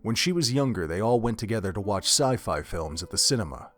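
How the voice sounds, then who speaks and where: A man's voice: fairly low pitch, big pitch swings, fairly quick, fairly bright tone, soft background music. A man reading scripted third-person narration as the storyteller of an audio drama.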